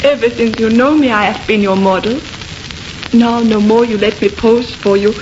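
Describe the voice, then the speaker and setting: An actress playing an anxious, jealous young French wife who speaks insistently in accented, broken English in a radio horror drama. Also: female voice, a little high, steady tone, moderate pace, close to an everyday voice. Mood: fearful, insistent. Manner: earnest, insistent, non-native grammar. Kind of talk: old-time radio horror acting. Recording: muffled, noisy old radio recording.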